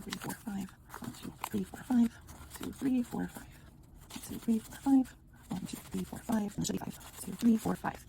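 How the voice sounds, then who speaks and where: A woman with a sore, scratchy throat, quietly counting bills aloud in a casual budgeting vlog. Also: medium pitch, vocal fry. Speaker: budgeting vlogger. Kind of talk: casual cash-stuffing walkthrough.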